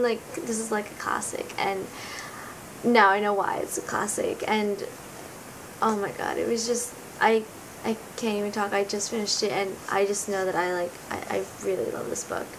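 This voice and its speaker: Raspy teenage girl reviewing a book. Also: fairly even intonation, moderate pace. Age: teens. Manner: earnest, rambling, searching for words. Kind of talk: casual book review vlog. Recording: noticeable rumbling background noise.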